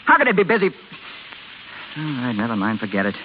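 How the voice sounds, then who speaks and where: Fast, bitter young radio-drama husband. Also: male voice, medium pitch, wildly swooping pitch. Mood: exasperated. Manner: agitated, self-pitying, slangy. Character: frustrated, bitter young working-class husband. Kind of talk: 1930s-40s radio drama acting. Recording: tinny, hissy old radio recording.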